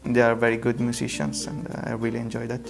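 Italian man, flat, gravelly and halting. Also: medium pitch, faint music behind. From Italy. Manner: halting, many fillers. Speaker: music student. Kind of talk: casual interview answers. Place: classroom.

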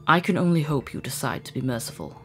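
A woman's voice: low-pitched, quick pace, faint music behind. A woman voicing a stern, imposing female alien gem commander in a dramatic cartoon dub, grave and commanding. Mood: grave, resigned.

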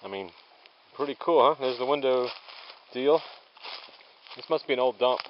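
A man's voice: medium pitch, normal speed. A man vlogging a long-distance hike, narrating casually and thinking aloud as he walks outdoors. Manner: rambling, easygoing, thinking aloud. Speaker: thru-hiker vlogger. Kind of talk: casual handheld trail narration. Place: outdoors on a forest trail. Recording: dull lo-fi camera audio, faint outdoor noise.

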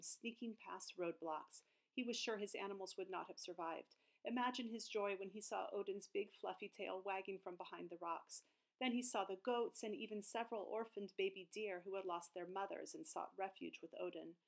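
A middle-aged woman author presenting to an online audience in a measured, storytelling manner. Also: mid-range voice, low-quality recording. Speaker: children's book author.

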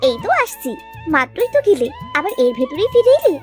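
A woman playing a nagging but devoted village housewife in a cartoon, expressive, shifting between scolding and coaxing. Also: very high voice, brisk, music in the background. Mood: pleased, affectionate. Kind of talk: cartoon voice acting.

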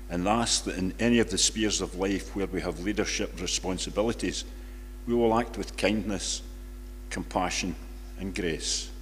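An older man reads a public prayer in solemn, measured phrases in a church. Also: low voice, a bit bright. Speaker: congregation member leading prayers. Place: large church, at a lectern. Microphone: lectern microphone. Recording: reverberant church hall, faint background noise.

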